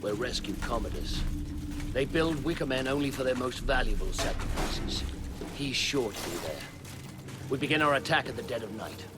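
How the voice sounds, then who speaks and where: An actor playing a solemn, authoritative Roman officer in a video game, speaking firmly over music. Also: male voice, mid-range voice, lively intonation, moderate pace, heavy background noise, natural voice. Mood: firm, resolute. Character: grave, commanding Roman centurion officer. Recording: loud music behind.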